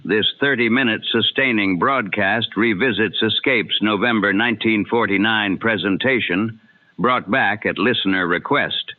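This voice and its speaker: A man reading a formal, scripted introduction as a program presenter. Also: fairly low pitch. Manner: formal, informational. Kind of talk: scripted narration. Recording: tinny, narrow phone-like radio sound.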